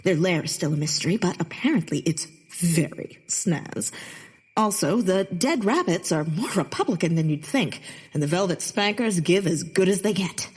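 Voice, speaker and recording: A woman's voice: fairly low pitch, expressive, moderate pace, darkish tone, breathy edge. An actress playing a bitter, theatrical Victorian woman poet in a comedic period audio drama, with a haughty, sardonic delivery. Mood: haughty, wry.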